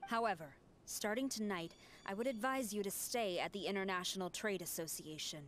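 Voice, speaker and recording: A woman's voice: medium pitch, fairly quick, fairly bright tone, a little hiss or hum, close to an everyday voice. A woman voicing a stern female officer who speaks in a clipped, formal way.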